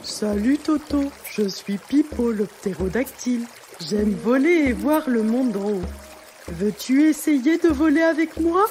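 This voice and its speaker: A woman's voice: on the high side, animated, measured pace, darkish tone, a little hiss or hum. A woman voicing a friendly, welcoming male pterodactyl who loves to fly, in a children's storybook tale. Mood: friendly, inviting.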